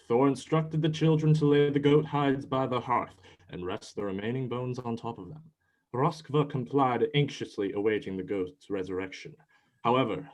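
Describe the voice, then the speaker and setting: A man's voice: medium pitch, a little mellow. A man reading scripted narration for a dramatized myth as its storyteller.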